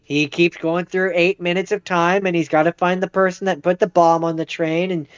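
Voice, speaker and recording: A man's voice: high voice, level tone, normal speed, clear, resonant tone, dry recording. A man co-hosting a podcast, casually explaining films and going back over his points, on slightly dull audio. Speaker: podcast co-host. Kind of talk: casual film discussion.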